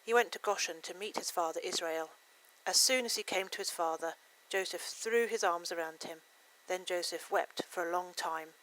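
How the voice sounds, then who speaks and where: A woman narrator reading a scripted Bible story aloud in clear, simple phrasing. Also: lowish voice, normal speed, few pauses, fairly bright tone, rough recording.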